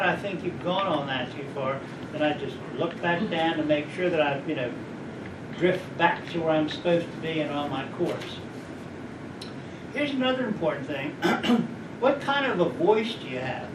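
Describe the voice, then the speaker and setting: A middle-aged man with a fairly high voice speaks to a class in a rambling, anecdotal way and keeps putting questions to the listeners. He is picked up from a distance in an echoey lecture room. A steady hum of background noise runs underneath. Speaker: guest speaker. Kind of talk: informal lecture to students.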